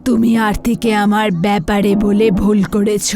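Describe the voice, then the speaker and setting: A woman's voice: medium pitch, little pitch movement, unhurried, music in the background, lightly acted. A woman voicing a menacing, vengeful female ghost who gives threatening commands in a horror story. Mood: cold menace.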